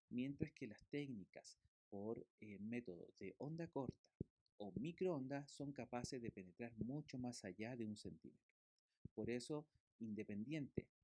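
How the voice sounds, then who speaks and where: A man's voice: medium pitch, warm tone, dry recording. A man giving a recorded physiotherapy lecture from slides, explaining step by step with fillers and tag questions.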